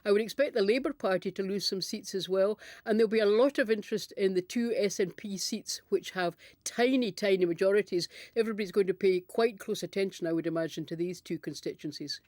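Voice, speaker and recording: A woman with a Scottish accent giving measured, candid and wry political analysis in conversation. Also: mid-range voice, darkish tone, no echo, clean studio sound. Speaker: political commentator, panel pundit.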